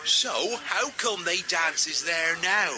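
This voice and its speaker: A cocky young village brawler with a high voice and a rural southern English accent. He blusters and banters in radio drama style, with music behind him. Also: lively intonation, slow pace. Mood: defiant. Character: brash, pugnacious young village Morris man. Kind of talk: radio play acting.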